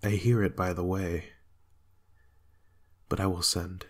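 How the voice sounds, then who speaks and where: A man acts out a haunted Shakespearean king in a dramatic reading. His voice is low and husky, and the verse comes slowly, with a brooding, fearful, raging temper. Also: little pitch movement. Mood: grim, brooding. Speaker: amateur reader in a dramatic reading.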